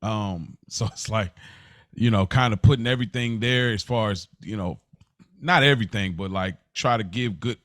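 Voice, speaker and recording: An American man in his thirties co-hosting a podcast in casual, slangy talk, telling things in an animated way and repeating words for emphasis. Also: fairly low pitch, moderate pace, no room sound, clean studio sound. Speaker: podcast co-host. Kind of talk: casual unscripted podcast chat.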